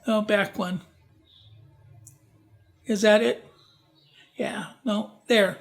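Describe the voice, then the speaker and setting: Slow, halting man giving a talk. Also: high voice, fairly even intonation, creaky. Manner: halting, with frequent restarts and asides to the audience. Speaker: genealogy presenter. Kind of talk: informal library talk with slides. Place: library event room.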